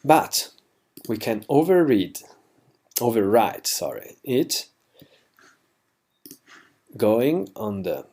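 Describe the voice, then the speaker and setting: Slow, halting man explaining software. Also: medium pitch, sing-song, gravelly. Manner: halting, self-correcting, slightly non-native phrasing. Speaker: plugin developer demonstrating software. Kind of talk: unscripted screencast tutorial.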